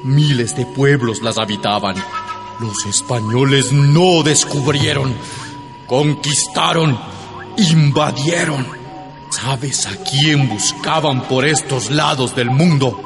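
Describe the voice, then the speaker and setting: A man playing personified gold, radio drama, stern, mocking, slow, long reverb, loud music. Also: mid-range voice. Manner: slow, stern, corrects and scolds. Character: gold speaking from deep in a mountain, stern, mocking.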